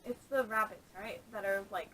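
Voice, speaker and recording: A woman's voice: mid-range voice, normal speed, distant mic. A woman co-hosting a podcast, chatting casually with dry teasing, recorded through a camera microphone. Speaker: podcast co-host. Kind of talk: casual bantering podcast chat. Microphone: camera audio. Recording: camera audio with crowd chatter behind.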